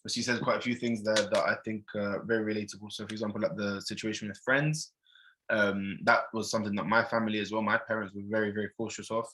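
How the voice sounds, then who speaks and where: Bright-voiced male podcast host. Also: lowish voice, fairly even intonation, fast, poor audio. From Pakistan. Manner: conversational, relatable anecdotes. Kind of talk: casual podcast chat.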